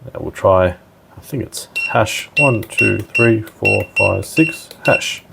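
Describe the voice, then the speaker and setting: A man's voice: fairly low pitch, animated, takes it slowly, creaky, heavy background noise. A man giving a casual, plain-spoken step-by-step technical demonstration as a tutorial presenter.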